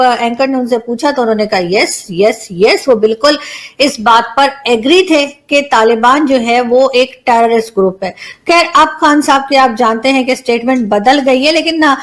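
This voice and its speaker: A woman's voice: high-pitched, fairly bright tone. A woman with a Pakistani accent presenting conversational political commentary to her viewers in a mocking, sarcastic manner.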